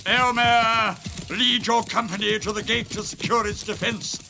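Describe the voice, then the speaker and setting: A gravelly, high-voiced warrior king. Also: steady tone, unhurried. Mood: urgent, commanding. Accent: British. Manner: declamatory, commanding. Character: noble warrior king, resolute battle leader. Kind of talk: British radio fantasy drama acting. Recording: noisy battle sound effects with horses.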